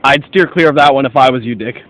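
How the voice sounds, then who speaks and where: A man playing a brisk, formal, self-assured private detective in a radio mystery. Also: mid-range voice, a bit bright, close to an everyday voice, rough recording. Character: brisk, observant American gentleman private detective. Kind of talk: radio mystery play acting.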